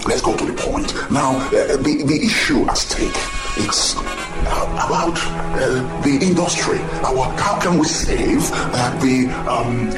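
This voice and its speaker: A man playing a polite, formal radio interview host who keeps apologizing and stumbling over his words. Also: mid-range voice. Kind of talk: amateur radio drama acting. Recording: echoey room with background music.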